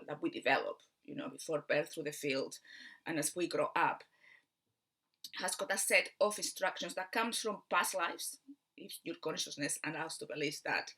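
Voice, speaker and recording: Bright-voiced Spanish woman coaching. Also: low voice. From Spain. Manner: earnest, explaining slowly with frequent fillers. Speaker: mind coach. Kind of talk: spiritual teaching talk.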